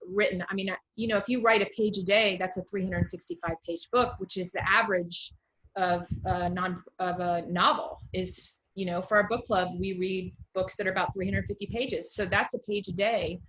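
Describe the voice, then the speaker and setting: A woman's voice: medium pitch, steady tone, moderate pace, a bit bright, rough recording. An American woman hosting a casual interview in a chatty, informal way. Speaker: interview host.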